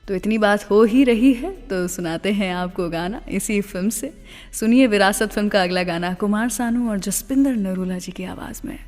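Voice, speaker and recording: A woman's voice: mid-range voice, moderate pace, a little mellow. Indian woman radio host in long reverb. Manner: mixes English phrases into Hindi. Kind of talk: conversational scripted radio storytelling about film music. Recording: long reverb, music bed behind voice. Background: background music.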